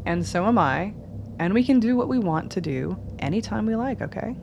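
A woman's voice: medium pitch, lively intonation, a little fast, gravelly, dull audio, background noise. An actress in a radio play, voicing a sardonic, blunt young female psychiatric patient with a wry, casual delivery. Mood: dismissive.

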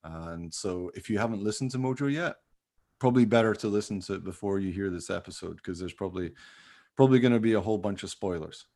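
Man, podcast host, lowish voice, gravelly creak, casual chat, rambling, jokey. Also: fast talker, mellow.

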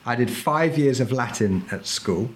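A middle-aged man in casual conversation, sharing his views on teaching historical fencing. He talks in a chatty, emphatic way and keeps checking in with "right?". His voice sits at an ordinary middle pitch. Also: animated, measured pace, a little hiss or hum. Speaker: podcast guest, historical fencing instructor. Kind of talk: casual interview chat.

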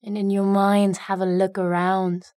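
Slow-paced woman guiding a meditation. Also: mid-range voice, level tone, no echo, clean studio sound. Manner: gentle, unhurried, with long pauses. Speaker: guided meditation instructor. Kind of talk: guided meditation narration.

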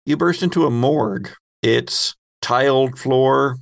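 A man hosting a tabletop horror game as game master, narrating scenes descriptively with halting pauses. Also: medium pitch, vocal fry.

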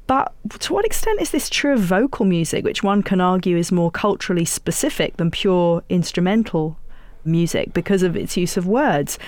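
A radio host asking long, carefully worded questions in a measured way. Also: mid-range voice, animated, darkish tone, dry recording. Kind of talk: interview hosting.

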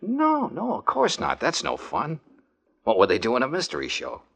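An actor playing a cocky, teasing amateur detective in an old-time radio drama, full of slangy wisecracks. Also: male voice, medium pitch, wildly swooping pitch, normal speed, vocal fry, fairly bright tone, heavily acted. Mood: breezy, teasing. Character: wry, cocky male amateur detective, adult, playfully confident. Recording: dull, lo-fi old radio recording.

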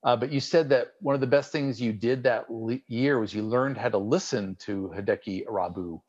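Husky-voiced chatty podcast host. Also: male voice, mid-range voice, normal speed, a little mellow, dull audio. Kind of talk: casual interview.

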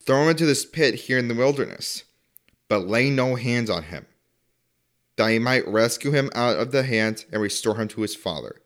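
A man's voice: mid-range voice, lively intonation, normal speed, a bit bright. Male scripture reader, mid-range voice, reading a Bible narrative.

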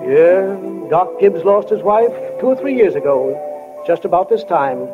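High-voiced folksy small-town storyteller man. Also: lively intonation, over music. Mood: folksy, reflective. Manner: homespun, musing, unhurried, addresses listeners as friends. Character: folksy reflective small-town New Hampshire storyteller guide. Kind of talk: 1940s radio acting, narration. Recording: tinny old 1940 radio broadcast.

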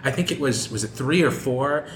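A man's voice: medium pitch, moderate pace, fairly bright tone. Guy hosting a laid-back group chat, informal, roomy echo and a bit of noise. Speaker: host of a podcast discussion group. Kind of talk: casual group discussion moderating.

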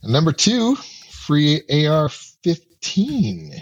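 A man's voice: fairly high pitch, wildly swooping pitch, slow pace, husky, dull audio. A man co-hosting a casual podcast, riffing with wry jokes. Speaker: podcast co-host. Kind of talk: casual joking chat.

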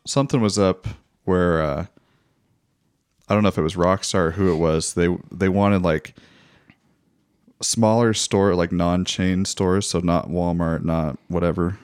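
Young man, very deep voice, dry close sound, easygoing casual chat. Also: steady tone, a little slow, a little mellow, smooth, no room sound. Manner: easygoing, agreeable listener with casual swearing.

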